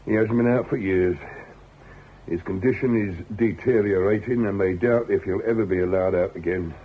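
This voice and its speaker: An actor playing a dutiful, dry police sergeant in a radio crime drama, respectful and measured. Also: male voice, on the low side, close to an everyday voice. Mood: matter-of-fact. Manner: respectful, measured, matter-of-fact. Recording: muffled radio drama recording, faint noise.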